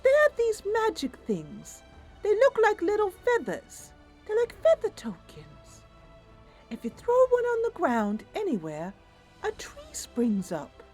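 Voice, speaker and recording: A woman's voice: extremely high pitch, huge pitch swings, unhurried, darkish tone, faint music behind, voice pushed up, heavily acted. A woman voicing a petulant, distrustful female nixie, a little fae who complains and haggles in a tabletop-style game roleplay. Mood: grudging, bargaining. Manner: sulky, complaining, bargaining.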